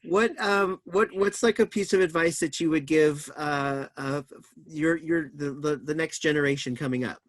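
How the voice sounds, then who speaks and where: A man hosting a casual theater talkback, pausing and searching for his words. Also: fairly high pitch, no echo. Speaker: stage directions reader and talkback host. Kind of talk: reading stage directions, casual moderated talk.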